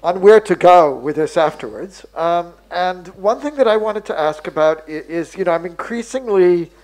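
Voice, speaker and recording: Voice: medium pitch, lively intonation, normal speed, darkish tone, low-quality recording. An audience member at an academic conference asks a hesitant question, full of ums and restarts. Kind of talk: academic panel discussion.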